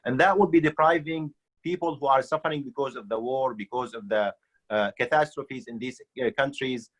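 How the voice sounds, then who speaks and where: A man's voice: mid-range voice, fairly quick, fairly bright tone, low-quality recording. A man from Syria with an Arabic accent, making earnest, unscripted panel remarks with hesitant starts.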